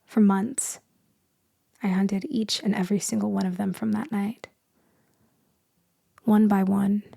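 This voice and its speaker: Woman acting a slow, hushed, melancholy female vampire. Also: medium pitch, little pitch movement, vocal fry, no room sound, clean studio sound. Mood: bitter, resigned. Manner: soft, hushed, drifting into whispers. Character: melancholy immortal female vampire, lonely, tender, haunted by loss. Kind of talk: slow storytelling ASMR roleplay.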